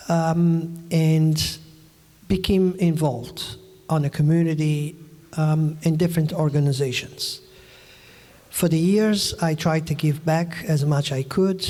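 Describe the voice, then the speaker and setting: A man from the Azores, a mayoral candidate, giving a halting forum speech with many fillers. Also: a little high, darkish tone. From Azores, Portugal. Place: community forum hall. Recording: long hall reverb.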